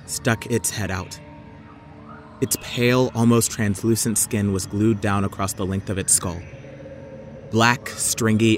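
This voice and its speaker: Man narrating horror over faint music. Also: lowish voice. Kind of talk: dramatic scripted first-person narration.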